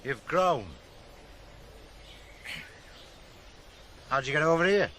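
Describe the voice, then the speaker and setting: An actor in a 1980s radio drama playing a curt, guarded working-class father with a Northern English accent and a gruff, dismissive way of talking. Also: on the high side, lively intonation, a little fast, fairly bright tone. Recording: faint noise on old radio broadcast.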